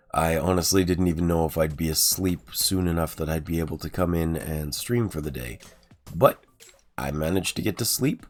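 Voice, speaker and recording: A man with a sore, raspy throat, chatting casually and rambling through let's-play commentary, with frequent ums and uhs. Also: a bass voice, a bit bright. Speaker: gaming streamer.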